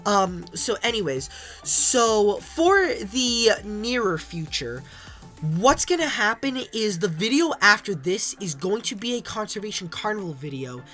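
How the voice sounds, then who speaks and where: Young woman with a raspy sick voice. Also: mid-range voice, sing-song, measured pace, fairly bright tone, faint music behind. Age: young adult. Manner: chatty, many ums and restarts. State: hoarse from illness. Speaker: gaming YouTuber. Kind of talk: casual game commentary.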